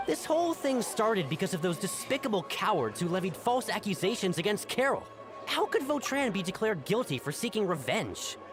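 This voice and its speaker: An actress playing a calculating, indignant citizen in a video game, persuasive and emphatic. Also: female voice, mid-range voice, big pitch swings, normal speed, soft background music, lightly acted. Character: calculating, indignant female citizen, pleading conspirator. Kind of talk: dramatic video game voice acting.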